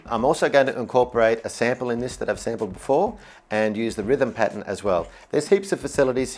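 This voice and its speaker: A man giving an upbeat, informal and enthusiastic instructional product demo, explaining features as he goes. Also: mid-range voice. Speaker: product demonstrator. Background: keyboard music.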